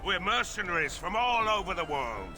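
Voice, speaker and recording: An actor playing a boastful, crude mercenary drinker in a video game, with a swaggering, rowdy delivery. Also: male voice, high-pitched, big pitch swings, moderate pace. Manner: swaggering, loud bursts. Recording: game audio with music.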